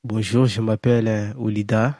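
A young man from Mayotte tells his story haltingly in short, simple phrases. His voice is low and flat in melody. The recording is close and dry. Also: no echo. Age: 20s. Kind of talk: recorded personal testimony.

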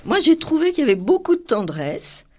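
A woman's voice: sits high, big pitch swings, brisk, warm tone. A woman on a film panel, speaking eagerly and expressively, often chiming in with agreement. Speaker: film critic guest. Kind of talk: casual film review chat.

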